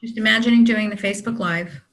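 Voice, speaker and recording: Bright-voiced woman leading tapping. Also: mid-range voice, quick pace. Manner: calm, leads phrases for repeating. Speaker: EFT tapping practitioner. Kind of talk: guiding a tapping session.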